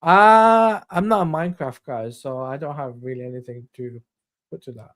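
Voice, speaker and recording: A man's voice: fairly high pitch, moderate pace, a bit bright. A man hosting a casual live stream, reading out news items in a rambling way while losing his voice. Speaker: stream host.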